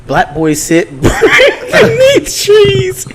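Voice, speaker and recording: A man's voice: extremely high pitch, huge pitch swings, drawn-out words, very noisy. A man in a casual podcast chat who reads aloud mockingly, giggling, swearing and stumbling over words. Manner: giggly, stumbles while reading, profane. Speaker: podcast co-host.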